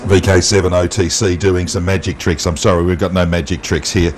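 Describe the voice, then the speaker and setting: An Australian man presenting an unscripted amateur TV walk-through, halting often with ums and uhs. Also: low voice, little pitch movement. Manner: halting, with long um and uh pauses. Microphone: wireless mic pack. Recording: noisy amateur TV transmission audio.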